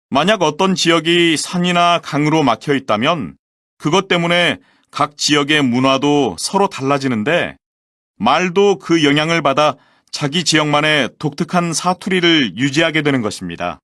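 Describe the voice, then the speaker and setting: Clear formal man reading a lesson. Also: a little high, expressive, a bit bright, no echo, studio quality. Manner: clear, measured, formal presentation delivery. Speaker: textbook audio voice actor. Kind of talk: scripted language-lesson reading.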